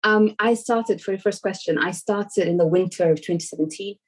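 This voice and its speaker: A woman from Somalia answering interview questions over a video call in an earnest, reflective way, with soft "um" fillers. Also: medium pitch, brisk. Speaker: guest.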